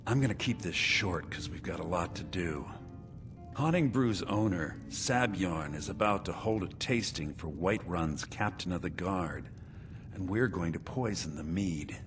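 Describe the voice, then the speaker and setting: An actor playing a bitter, scheming conspirator in a video game, talking in a sly, confiding way. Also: male voice, deep voice, animated, a little slow. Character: bitter, scheming man, conspirator in a mead-poisoning plot. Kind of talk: video game character dialogue. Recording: game music behind the voice. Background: game music.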